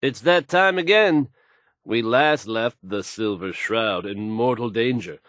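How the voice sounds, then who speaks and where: An actor playing a smooth, self-assured male radio DJ and announcer in a video game. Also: mid-range voice, measured pace, a bit bright, dry recording, clean studio sound, natural voice. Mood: showy, dramatic.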